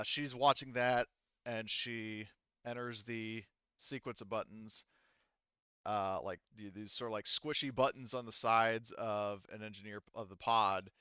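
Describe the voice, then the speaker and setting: Low-voiced man game master, slightly muffled. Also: fairly bright tone, clean tone, no echo. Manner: hesitant, searching for words as he narrates. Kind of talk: improvised tabletop game narration.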